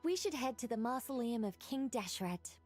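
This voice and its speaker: An actress playing a serene, gracious guide in a video game, speaking in a formal, gently solemn way over music. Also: female voice, fairly high pitch, lively intonation, moderate pace, lightly acted. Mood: calm, gracious. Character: serene, wise, gracious female guide of divine lore.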